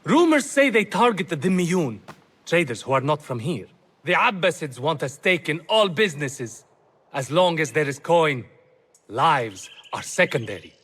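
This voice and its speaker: An actor playing an anxious, courteous market trader in a video game, speaking in an earnest, formal, expressive way. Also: male voice, on the high side, moderate pace, breathy edge, heavily acted. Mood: worried, indignant.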